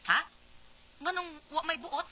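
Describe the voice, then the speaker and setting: A woman's voice: sits high, unhurried, a little hiss or hum. A woman with a Cebuano accent playing a worried, exasperated politician's wife in a radio drama, exclaiming and questioning dramatically. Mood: alarmed, curious. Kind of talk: Bisaya radio drama acting. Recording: dull lo-fi radio recording.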